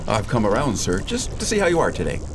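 Casting: man as civil, doubting physician for radio drama; fairly low voice, very expressive pitch, measured. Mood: courteous. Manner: polite, measured. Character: calm, courteous, sceptical male family doctor. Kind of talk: theatrical radio drama acting. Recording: clearly noisy background ambience.

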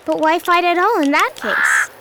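A woman's voice: extremely high pitch, huge pitch swings, normal speed, gravelly, noisy background, exaggerated voice. A woman playing a plucky, earnest little girl who speaks matter-of-factly in a radio play.

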